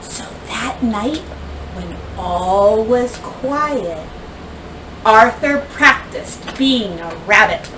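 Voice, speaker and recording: Slow, high-pitched woman reading a storybook. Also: animated. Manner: deliberate storyteller phrasing with dramatic pauses and emphasis. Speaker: teacher reading a picture book aloud. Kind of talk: expressive children's storybook read-aloud. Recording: distant mic, faint background noise.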